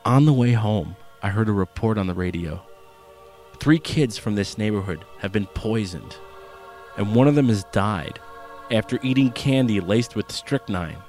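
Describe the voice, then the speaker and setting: Middle-aged protective father, commanding, lowish voice, a man voicing him. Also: expressive, moderate pace, darkish tone, soft background music, lightly acted. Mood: grave. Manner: firm, commanding.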